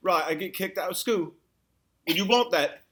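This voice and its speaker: A woman's voice: fairly low pitch, normal speed, fairly bright tone, lightly acted. A woman playing a swaggering, mocking teenage boy bully in an exaggerated comedic table read. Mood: taunting. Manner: taunting, exaggerated.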